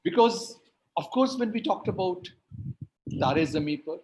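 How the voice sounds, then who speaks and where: A man whose native tongue is Hindi and Urdu speaks at a panel like a lecturer. His voice sits a little high, and he stretches out stressed words and builds emphasis through repeated phrases. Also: lively intonation, a little mellow, slight background noise, rough recording. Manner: emphatic, rhetorical repetition, drawn-out stresses. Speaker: linguistics academic, panelist.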